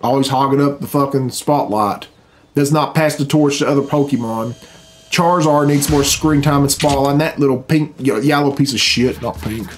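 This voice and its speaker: A man's voice: mid-range voice, moderate pace. A man, giving blunt, grumbling, sweary commentary as host of a reaction video. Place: small furnished room at home, indoors. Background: loud game cutscene music.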